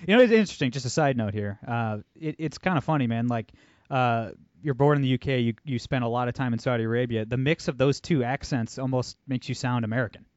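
A man hosting a podcast, chatty and energetic, with lots of fillers. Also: mid-range voice, fast, dry recording, highs cut off. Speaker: podcast host. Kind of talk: casual interview talk.

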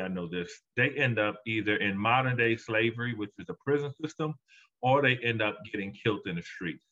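A man's voice: fairly low pitch, a bit bright, muffled recording. A man, a guest author and education consultant, answering interview questions emphatically, his voice rising when he stresses a point. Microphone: call audio.

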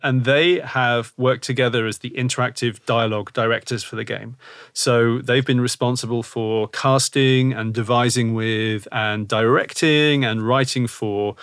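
Male podcast host, mid-pitch, loose rambling talk, dry close mic. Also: fairly bright tone, no echo. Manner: rambling, thinks aloud with many "like" and "kind of". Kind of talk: casual podcast conversation.

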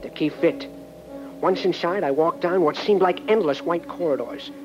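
A man's voice: a little high, moderate pace, highs cut off, music in the background, natural voice. A man narrating as a jittery, earnest cop. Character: earnest, naive small-town male cop narrator. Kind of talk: comic hard-boiled narration.

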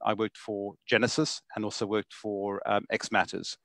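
A man's voice: on the low side, little pitch movement, moderate pace, fairly bright tone, dry recording. A man with a South African accent, a guest on a video podcast, talking casually from his home study over a video call and often repeating words as he starts sentences. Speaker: guest, software sales executive.

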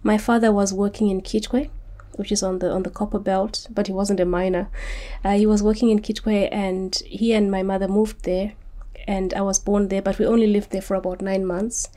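A woman's voice: medium pitch, flat delivery, moderate pace, mellow. A woman, an author giving earnest, articulate interview answers and often repeating words as she restarts. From Zimbabwe. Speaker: author guest.

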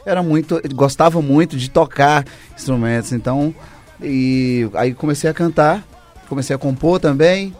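A Brazilian man with a slightly high voice speaks as a musician guest in a radio studio. His talk is effusive and wanders from thought to thought, with music behind him. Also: moderate pace, a little mellow. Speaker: singer and songwriter, interview guest. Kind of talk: casual radio interview chat.

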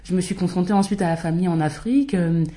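A woman's voice: fairly low pitch, quick pace, dark tone. A woman talking as a guest in a casual conversation, hesitant, with many fillers and restarts.

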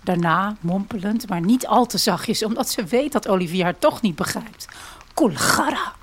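A woman's voice: mid-range voice, moderate pace. A woman reading first-person literary fiction as the narrator of an audio drama.

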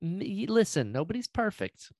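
A man's voice: sits high, very animated, moderate pace, dry recording. Guy hosting a chatty podcast, super enthused and teasing, voice bounces around, really dry sound. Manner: enthusiastic, gushing, playful teasing. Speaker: podcast host and voice actor. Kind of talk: casual interview chat.

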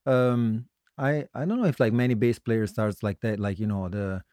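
A middle-aged man from Italy with an Italian accent, telling his story casually as an interview guest, halting and self-correcting with a joking streak. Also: on the low side, dark tone, no room sound, studio quality. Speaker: guest musician, singer-songwriter.

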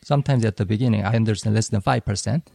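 A man whose first language is Korean talks through ideas on a podcast with a gravelly, mellow voice. He explains at a measured, slightly halting pace, recorded dry and close to the mic. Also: lowish voice, no echo. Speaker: podcast co-host. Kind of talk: casual educational discussion.

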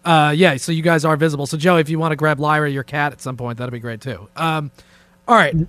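Guy with a high-ish voice hosting a loose sweary podcast, dry close sound. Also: fairly even intonation, fast talker, a bit bright, no echo. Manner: informal, joking, takes charge of the talk. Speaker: podcast host. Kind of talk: casual profane talk show chat. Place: indoors, remote conference call set up with camera.

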